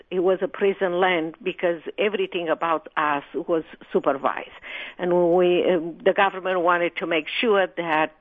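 A woman from Romania, a guest author telling her life story in an interview, speaking hesitantly with many ums. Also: fairly low pitch. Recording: tinny phone line.

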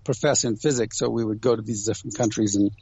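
A man's voice: lowish voice, fairly even intonation, fast, warm tone, breathy edge, no room sound, muffled recording. A man, an interview guest who grew up in Ghana and other countries in an Indian family, explaining things through anecdotes in a conversational way. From Ghana and other countries abroad, Indian family. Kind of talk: conversational interview, personal storytelling.

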